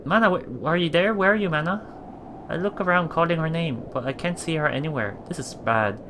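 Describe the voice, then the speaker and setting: A man reading a visual novel aloud for a Let's Play, expressive and dramatic in first-person narration. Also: a little high, a little fast, background noise. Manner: expressive, dramatic, with murmured reactions.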